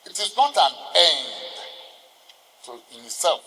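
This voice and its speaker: An older man with a Nigerian accent gives a formal ceremonial speech, exhorting his listeners deliberately with emphatic pauses. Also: high voice, big pitch swings, sharp tone. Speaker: senior lawyer addressing a ceremony. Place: large indoor courtroom. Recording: reverberant hall with long echo.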